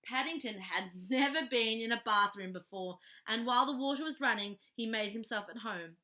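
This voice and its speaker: A woman narrates a children's storybook in a high, bright voice. Her reading is expressive and animated, with small playful asides to the listener. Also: moderate pace. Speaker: storybook reader.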